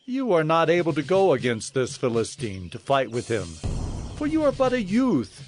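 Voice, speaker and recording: A man's voice: on the high side, wildly swooping pitch, moderate pace. An actor playing a grave, commanding older king in a dramatized scripture recording, weighty and emphatic. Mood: doubtful, urgent.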